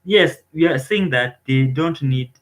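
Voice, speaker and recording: A man's voice: on the high side, a little slow. Hesitant Kenyan man chatting. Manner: hesitant, many fillers and restarts. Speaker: co-host. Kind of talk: casual livestream chat.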